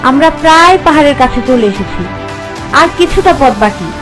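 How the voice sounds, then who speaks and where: A woman's voice: sits high, lively intonation, a little fast. A woman voicing a young, earnest, anxious princess in a cartoon fairy tale. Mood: earnest, urgent. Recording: music behind the voice.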